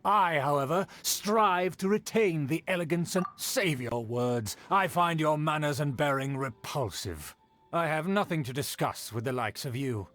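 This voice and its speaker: A man's voice: on the high side, expressive, measured pace, fairly bright tone, a little hiss or hum. A man playing a haughty, indignant nobleman in a video game, speaking in a pompous, formal, disdainful manner. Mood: haughty, scornful. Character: haughty, indignant male aristocrat of a declining noble clan. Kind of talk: scripted video game character acting.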